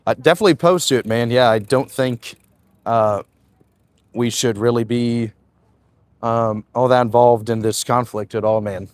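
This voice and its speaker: A man's voice: medium pitch, normal speed. A man in his twenties hosting a casual livestream, chatting loosely while he walks and reads out viewer comments. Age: 20s. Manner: relaxed, loose, frequent "uh" and "man". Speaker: livestream host.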